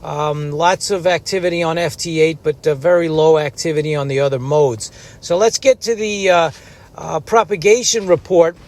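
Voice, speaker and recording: A man's voice: fairly high pitch, steady tone, normal speed, a little mellow. A man who makes amateur radio videos, speaking casually as he narrates and operates.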